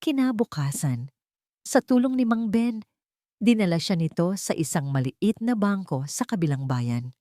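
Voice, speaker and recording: A woman's voice: on the low side, animated, normal speed, dark tone, dry recording, clean studio sound. A woman reading a scripted dramatic story in Tagalog as its narrator.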